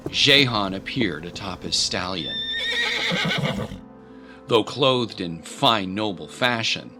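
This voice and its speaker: A man's voice: on the low side, bright, forceful tone. A man giving grave, literary, scripted narration for a dramatic story. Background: music bed.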